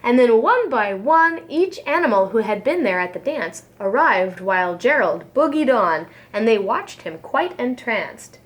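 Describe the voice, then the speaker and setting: Female preschool storyteller; unhurried, lively swoops, dramatized children's read-aloud. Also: on the high side. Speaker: preschool teacher reading aloud.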